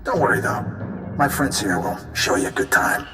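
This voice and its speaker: An actor playing a smug, mocking space rogue villain in a video game, glib and sarcastic. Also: male voice, fairly low pitch, very animated, moderate pace, pushed and bright. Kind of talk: video game cutscene acting. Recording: echoey long reverb under music.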